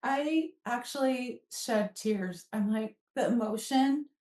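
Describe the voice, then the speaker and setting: A middle-aged American woman speaking as a podcast guest in an animated, conversational storytelling way. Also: fairly high pitch, slow. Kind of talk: casual chatty storytelling, with some reading aloud from a book. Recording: echoey room, distant from mic.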